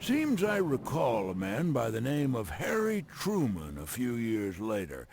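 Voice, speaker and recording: A crusty old American railroad man, narrates a game cutscene with folksy, scolding bluster. His gravelly voice sits a little high and swings widely in pitch. Heavy noise runs behind him. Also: wildly swooping pitch, a little slow, exaggerated voice. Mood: wry, folksy. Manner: folksy, scolding, colorful old-time slang.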